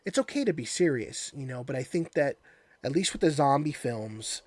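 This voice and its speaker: A man's voice: mid-range voice, expressive, moderate pace, a little mellow, dry recording. A man hosting a casual interview, talking in an agreeable, conversational way. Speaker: podcast host.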